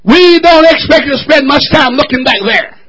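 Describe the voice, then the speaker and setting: A man preaching an impassioned sermon in church, shouting with his voice breaking from emotion. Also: very high voice, expressive, moderate pace. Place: church service.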